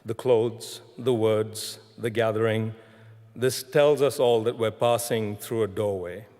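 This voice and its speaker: A man giving a graduation speech in a measured storytelling manner, recorded in a large hall. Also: lowish voice, fairly even intonation, a little mellow. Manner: measured, storytelling delivery with deliberate repetition. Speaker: graduation ceremony speaker. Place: large concert hall, live ceremony. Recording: echoing large concert hall.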